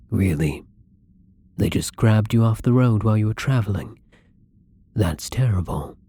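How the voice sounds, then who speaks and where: A man playing a newly turned young vampire in an intimate ASMR roleplay, apologetic and hungry, speaking softly and hesitantly with a husky, breathy voice that often drops to a whisper. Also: lowish voice, full character voice. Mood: concerned, gentle.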